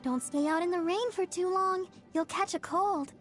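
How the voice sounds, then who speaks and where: Game casting: sweet young girl idol healer, extremely high voice, earnest and bubbly, music underneath. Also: moderate pace. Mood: delighted. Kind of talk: video game character voice lines.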